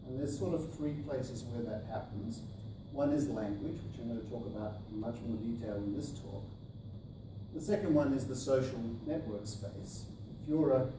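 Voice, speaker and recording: A male professor delivers a measured academic lecture in an Australian accent tinged with Canadian. His voice sits at an average pitch, picked up from a distance in a very echoey room with clear background noise. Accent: Australian softened by years in Canada. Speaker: professor giving a talk.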